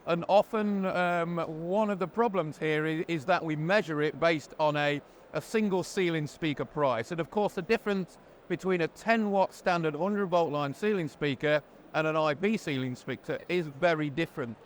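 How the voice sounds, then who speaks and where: A man with a fairly high-pitched voice hosts a product interview. He explains things in a measured, orderly manner. A light wash of background noise from a trade show floor sits behind him. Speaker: presenter and interviewer. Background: faint ambient noise.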